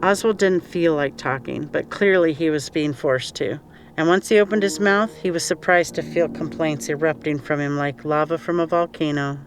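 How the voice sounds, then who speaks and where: A man's voice: a little high, normal speed. A man reading scripted story narration as an audiobook narrator. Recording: faint background noise.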